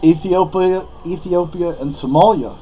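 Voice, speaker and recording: A man's voice: high-pitched, moderate pace, warm tone, dull audio, a little hiss or hum. A man from Spain, a native Spanish speaker, giving a rambling, opinionated monologue with many fillers and restarts. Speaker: vlogger.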